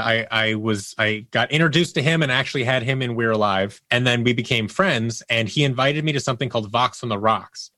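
A man chatting casually as an interview guest, telling stories in an animated way and slipping into quoted dialogue. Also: medium pitch, no echo. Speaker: interview guest, audio drama producer. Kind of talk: casual conversational storytelling.

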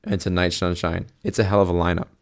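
A man with a very low voice gives a laid-back promo for an event. He wanders a little and drops fillers as he goes. His tone is easygoing and unhurried. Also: level tone. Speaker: host. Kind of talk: casual event announcement.

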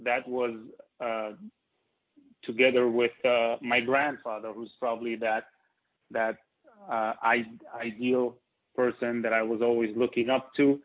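A man's voice: medium pitch, level tone, unhurried. A man, an interview guest who talks with frequent hesitations and fillers. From Israel. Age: 40s. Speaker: interview guest, company co-founder. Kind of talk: interview conversation. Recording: tinny phone-like line.

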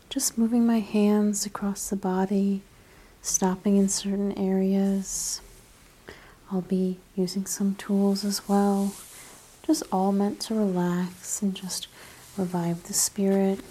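A breathy woman with a soft, mellow voice guides a relaxation session in ASMR style. Her delivery is very even and gentle, often slipping into a whisper. She sounds soothing and unhurried throughout. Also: mid-range voice. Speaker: ASMR practitioner and show host.

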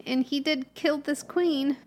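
A woman's voice: high-pitched, steady tone, a little slow, a little mellow. A woman playing a tabletop character, hesitant and thinking aloud with many ums. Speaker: player. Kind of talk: in-character roleplay at a tabletop game.

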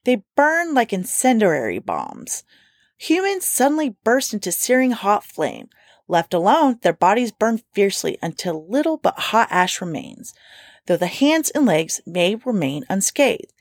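Woman podcast host spinning a weird story, pitch all over the place, dry close sound. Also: very animated, unhurried, no room sound. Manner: playful, swears, reads quotes aloud. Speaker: podcast co-host telling a true-crime story. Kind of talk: casual storytelling chat.

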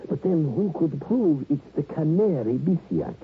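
Troubled old musician, muffled radio. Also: male voice, on the high side, lively intonation, unhurried, husky, exaggerated voice. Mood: pleading, anxious. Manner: hesitant, disbelieving, pleading. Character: gentle, troubled old blind male former violinist. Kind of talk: 1950s-60s radio drama acting. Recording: muffled, noisy vintage radio broadcast.

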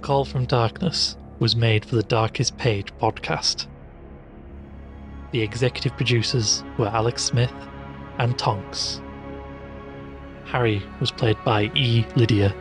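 A man announces scripted audio drama credits. His voice is of medium pitch, set over clearly audible music. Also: steady tone, a little slow, long pauses.

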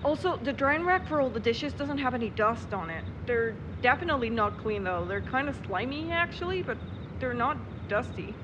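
Woman acting as nervy grumbly young woman, kinda high voice, wry, audio drama, lots of noise behind. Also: lively intonation, normal speed, highs cut off, lightly acted. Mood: uneasy. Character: reluctant, nervous, wryly grumbling young woman. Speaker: actor in a supernatural mystery audio drama.

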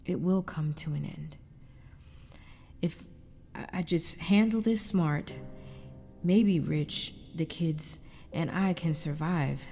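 A woman's voice: mid-range voice, creaky, dark tone. A woman playing an anguished but determined wife and mother in an audio drama, narrating in a confiding, reflective way. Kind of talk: first-person dramatic narration.

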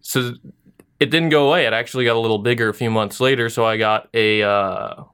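A man's voice: mid-range voice, steady tone, brisk, a bit bright, smooth. Laid-back young man telling a story. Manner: loose, joking storyteller who laughs often. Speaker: podcast co-host. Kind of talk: casual podcast chat.

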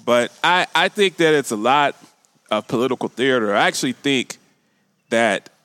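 A man's voice: medium pitch, animated, moderate pace, a bit bright, clean tone, no echo. A man hosting a casual talk radio show, often repeating and restarting phrases for emphasis.

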